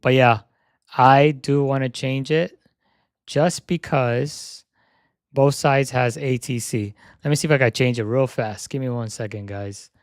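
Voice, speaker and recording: A young man live-streaming a flight sim session, chatting casually and thinking aloud as he sets up, his voice slightly hoarse. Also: medium pitch, steady tone, measured pace, no echo, clean studio sound. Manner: repeats "yep" while checking items.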